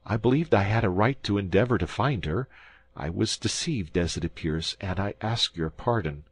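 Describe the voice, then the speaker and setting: A man voicing a frightened, pleading middle-aged landlord in a shrill, high, frantic voice. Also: lively intonation, moderate pace, slightly rough, no room sound.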